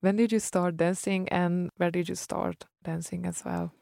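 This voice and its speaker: A woman interviewer asking measured questions. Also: on the low side, little pitch movement, vocal fry, dark tone, no room sound, clean studio sound.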